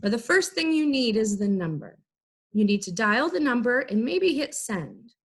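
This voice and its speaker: A woman teacher giving an instructional lesson, explaining things in clear, simple, step-by-step phrases. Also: a little high, very animated, moderate pace. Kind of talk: instructional video lesson for students.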